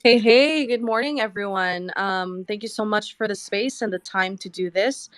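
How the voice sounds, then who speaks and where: A woman from Hawaii delivers a prepared pitch at a steady, even pace. Her voice sits at a middle pitch, and the sound is dry. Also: moderate pace, no room sound. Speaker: project founder pitching.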